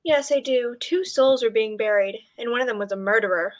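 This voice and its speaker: A woman's voice: fairly high pitch, fairly quick, lightly acted. A woman playing a gossipy village innkeeper, chatty and dramatic, in an old-time radio drama. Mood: gossipy relish. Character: Miss Mickle, gossipy female village innkeeper relishing grisly news.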